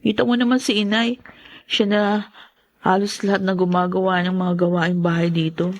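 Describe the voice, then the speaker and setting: A woman's voice: fairly low pitch, level tone, moderate pace. A woman playing a conciliatory, dutiful young working Filipino woman in an audio drama. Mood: appeasing. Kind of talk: Filipino radio-style drama acting.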